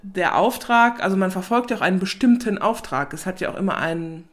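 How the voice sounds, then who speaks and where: A woman's voice: medium pitch, fairly quick. A woman in her forties hosting an interview, talking casually and hesitantly with many fillers as she thinks aloud. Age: 40s. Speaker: podcast host.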